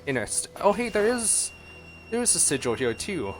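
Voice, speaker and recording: A man's voice: high-pitched, big pitch swings, normal speed. Man giving casual gameplay reactions. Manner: musing aloud, exclamatory. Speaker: game streamer. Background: faint game music.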